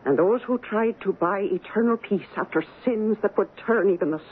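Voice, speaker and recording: An actress playing a superstitious, blunt Scottish housekeeper with an ominous, dialect-laden manner in a radio drama. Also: female voice, mid-range voice, normal speed, natural voice. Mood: grim, ominous. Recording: muffled, noisy 1970s radio broadcast.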